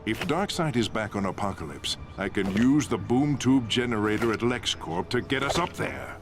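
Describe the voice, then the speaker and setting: An actor playing a vain, smug criminal mastermind in a video game, with a boastful, theatrical delivery. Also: male voice, medium pitch, animated, normal speed, over music, close to an everyday voice. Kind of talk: video game cutscene acting.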